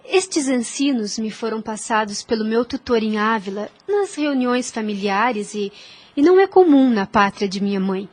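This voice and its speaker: A woman playing a gentle, devout young Spanish woman who explains scripture earnestly in a religious audio drama. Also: a little high, animated. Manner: earnest, measured, reverent explaining.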